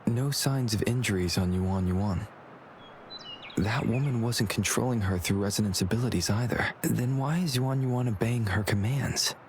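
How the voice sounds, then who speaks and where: A man's voice: fairly low pitch, moderate pace, a little mellow, slight background noise, close to an everyday voice. An actor playing an observant male adventurer in a video game, matter-of-fact and musing as he puzzles things out. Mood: puzzled.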